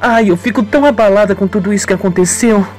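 A man's voice: high voice, quick pace, a little mellow, soft background music, pitched above the performer's own voice, close to an everyday voice, poor audio. A man voicing a scheming young woman who plays innocent, coy and slangy, in an audio soap opera. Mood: feigned distress.

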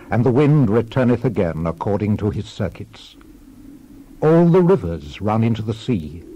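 An actor playing a world-weary, reflective old king and preacher in a dramatized scripture reading, solemn and measured. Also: fairly low pitch, mellow, slight background noise, poor audio.

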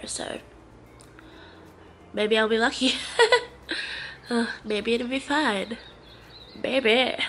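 A young Australian woman talks to a handheld camera in her living room, her voice stuffy from a blocked nose. She speaks slowly and with a gravelly fry, pitched a little high, wandering through her thoughts with plenty of pauses and fillers. A faint hiss sits behind her. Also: fairly bright tone. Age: young adult. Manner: rambling, hesitant, many fillers. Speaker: vlogger. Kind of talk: casual vlog chat to camera. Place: living room of a house, indoors. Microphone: handheld camera at arm's length.

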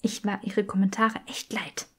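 A woman's voice: mid-range voice, flat delivery, moderate pace, breathy edge, close to an everyday voice. A woman voicing a brainy, precise young witch in an audiobook, articulate and matter-of-fact. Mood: matter-of-fact, tired.

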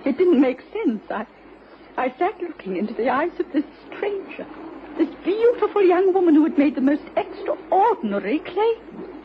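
An actress playing a proper, emotional doctor's wife in a radio drama, halting and formal in her agitation. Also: high-pitched. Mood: shaken, disbelieving. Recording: muffled, noisy old radio recording.

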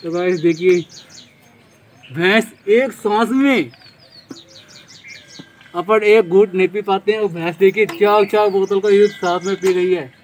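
A young man giving excited, repetitive casual vlog commentary to his viewers outdoors. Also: high-pitched, a little slow, long pauses. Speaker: vlogger. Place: open dirt farmyard, outdoors. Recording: noisy outdoor yard, birds and animals.

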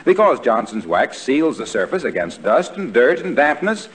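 A man's voice: mid-range voice, sing-song, normal speed, lightly acted. An actor playing an eager, rueful salesman with polished announcer phrasing in 1940s radio comedy. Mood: salesmanlike. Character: eager, rueful salesman pitching floor wax. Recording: studio audience behind 1940s radio voice.

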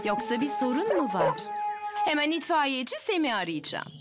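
Worried mum: very high, animated, anxious, cartoon dub with loud music. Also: female voice, normal speed. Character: caring, worried mother. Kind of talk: Turkish children's cartoon dub.

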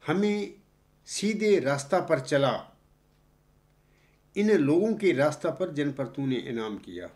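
An older man giving a Friday sermon with a close mic, explaining scripture and chanting Arabic verses in long, drawn-out phrases. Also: fairly high pitch, expressive, a little mellow. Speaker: preacher. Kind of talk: Friday sermon with slow Quranic recitation. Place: indoors, seated before a backdrop screen. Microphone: close studio mic in front of the face.

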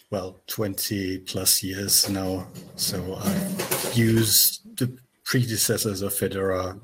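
Older german dev on a headset call, slow and halting, lots of ums. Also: male voice, low-pitched, breathy edge, low-quality recording. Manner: halting, many fillers and restarts. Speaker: software developer. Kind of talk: casual video call chat. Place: small room at home. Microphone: headset on a video call.

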